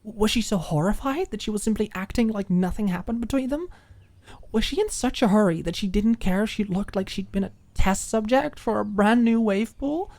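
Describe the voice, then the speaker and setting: A woman reading a scripted story as an audiobook narrator, in an expressive ASMR storytelling style. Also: medium pitch, normal speed.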